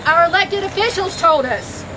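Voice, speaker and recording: A woman giving a rousing protest speech outdoors as a campaign coordinator, loud and rhetorical with repeated phrases. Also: very high voice, moderate pace. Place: outdoors on a college campus. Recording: outdoor noise and passing traffic.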